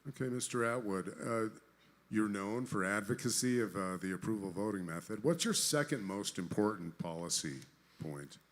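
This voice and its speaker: A man moderating a political debate, asking questions in a measured way with hesitations. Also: fairly low pitch, lively intonation.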